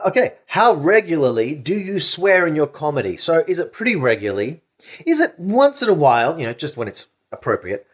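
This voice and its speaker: Male co-host, casual comedy-podcast chat, animated pitch, jokey. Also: a little fast. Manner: chatty, jokey, hedging with restarts.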